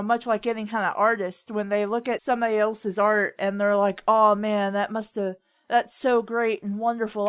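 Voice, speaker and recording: A woman hosting a casual chat and giving advice in a conversational, rambling way, often acting out what others say. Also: on the high side. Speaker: host of a writing advice talk.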